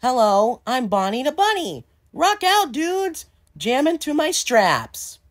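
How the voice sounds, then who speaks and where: An excitable animatronic rock bunny in a high falsetto. The pitch swoops widely, in video game mascot style. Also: male voice, slow pace, exaggerated voice. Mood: excited.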